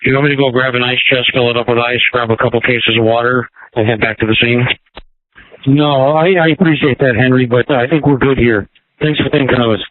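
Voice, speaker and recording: A man, a police officer on scene, speaking calmly and politely over radio. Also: medium pitch, a little fast. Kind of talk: radio traffic. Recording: tinny scanner radio with hiss.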